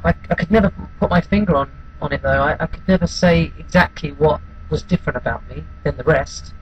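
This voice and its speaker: Casting: actor as withdrawn bullied outcast, male, halting and subdued dramatic monologue, faintly noisy amateur recording. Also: fairly high pitch, muffled recording, close to an everyday voice. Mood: subdued, pained. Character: withdrawn, wounded outcast recalling being bullied at school.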